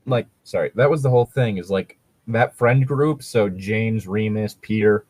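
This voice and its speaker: A man's voice: lowish voice, moderate pace, a little mellow, no echo. A man chatting casually as a co-host, explaining things in a rambling, sweary way. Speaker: co-host reading and discussing fan fiction. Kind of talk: casual chat and commentary.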